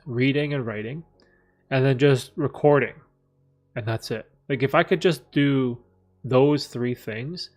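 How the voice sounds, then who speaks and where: A man hosting a casual livestream Q&A from a room with a bookcase, rambling with many fillers and restarts. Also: medium pitch. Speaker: livestream host answering viewer questions. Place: room at home with a bookcase behind him.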